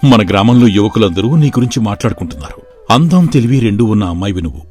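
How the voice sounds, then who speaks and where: A man voicing an earnest young village man who sincerely declares his love, in an animated story. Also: on the low side, fast talker, faint music behind, lightly acted.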